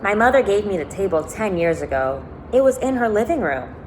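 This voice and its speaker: A woman reading aloud as a brisk, chatty, impatient female customer in a children's novel. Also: mid-range voice, animated, a little mellow, a little hiss or hum, pitched above the performer's own voice, natural voice, poor audio.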